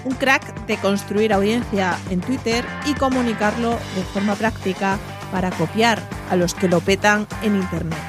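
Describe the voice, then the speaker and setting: Woman podcast host from a Spanish show, Spain accent. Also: medium pitch. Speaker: podcast host interviewing a guest. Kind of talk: scripted podcast introduction and friendly interview.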